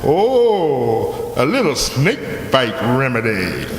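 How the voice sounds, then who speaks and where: Pompous boozy braggart played by a man, slow florid drawl with huge pitch swings, radio comedy. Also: wildly swooping pitch. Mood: breezy. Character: boastful, big-nosed, tippling old-style comic golfer. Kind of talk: old-time radio comedy acting. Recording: echoey hall, noisy background.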